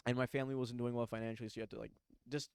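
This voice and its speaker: A man in his twenties from Pakistan, chatting on a podcast in an animated, emphatic way with slangy asides. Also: mid-range voice, very fast, gravelly, clear, resonant tone, no room sound. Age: 20s. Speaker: podcast co-host. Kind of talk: casual chat.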